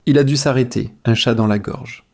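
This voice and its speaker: A man reading language drills in a measured, repetitive way, phrase by phrase, as a teacher for shadowing practice. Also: mid-range voice, fairly even intonation, a little mellow, husky.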